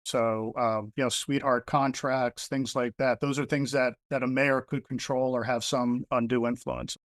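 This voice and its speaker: A man's voice: mid-range voice, moderate pace, no echo. Conversational New man as author guest. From New Jersey. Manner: relaxed, conversational, many fillers like "you know". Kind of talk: interview answers.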